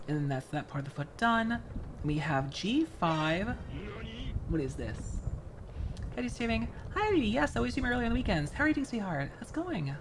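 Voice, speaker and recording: Streamer, casual live chat, rambling and friendly, lively pitch swings, faint background hiss. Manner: rambling, chatty, greets viewers warmly. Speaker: VTuber streamer. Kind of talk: casual live-stream chat while building a model kit.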